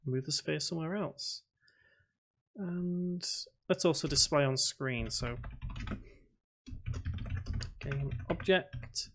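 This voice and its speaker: A man hosting a casual live stream, thinking aloud as he codes and reading chat questions aloud. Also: a little high. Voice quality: self-described boring voice. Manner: talks to himself while typing, reads chat aloud.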